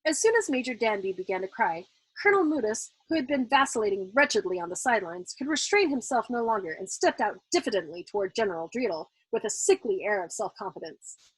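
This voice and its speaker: A woman reading a novel aloud as a storyteller, in expressive narration. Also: medium pitch, quick pace, breathy edge, no room sound, low-quality recording. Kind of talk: expressive audiobook-style narration.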